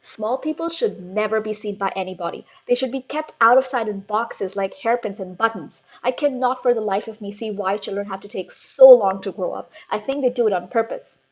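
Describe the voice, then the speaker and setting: A woman's voice: mid-range voice, a little fast, hardly any pauses, breathy edge, close to an everyday voice. A woman doing a barking bully of a headmistress. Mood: bellowing scorn. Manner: barking, shouting, contemptuous. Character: huge bullying female headmistress, furious and cruel. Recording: dull lo-fi recording.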